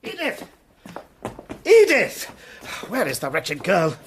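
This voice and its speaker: An actor playing an anxious young gentleman host in a period radio drama, courteous, fretful and a little hesitant. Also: sits high, wildly swooping pitch, slow pace, vocal fry, slight background noise, heavily acted. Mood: exasperated, commanding.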